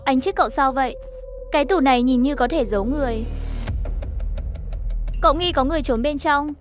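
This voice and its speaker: A woman with a clearly high-pitched voice narrates an animated horror tale. She reads scripted lines and the characters' dialogue herself. Music plays behind her voice. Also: brisk. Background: background music.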